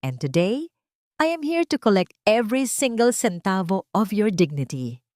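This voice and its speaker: A woman narrator playing a wronged young mother in an audio love story, cold, bitter and deliberate. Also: mid-range voice, big pitch swings, a little mellow, dry recording, clean studio sound, voice pushed up, natural voice. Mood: bitter, commanding.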